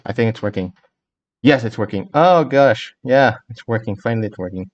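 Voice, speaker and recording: A man's voice: mid-range voice, lively intonation, moderate pace, highs cut off. A man livestreaming a video game in casual, scattered chat, repeating himself and swearing. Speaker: game streamer. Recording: muddy, noisy amateur stream audio. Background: game music.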